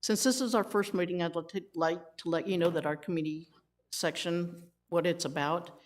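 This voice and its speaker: A woman's voice: lowish voice, fairly even intonation, normal speed. A woman chairing a community committee meeting, reading formal procedures aloud and cracking dry jokes at the room. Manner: wisecracking, reads formal rules then jokes with the room.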